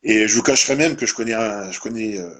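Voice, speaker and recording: Male live-stream host, husky, rambling and informal. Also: on the low side, fast talker, fairly bright tone. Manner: meandering, tags sentences with "hein". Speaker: host of a live winery presentation.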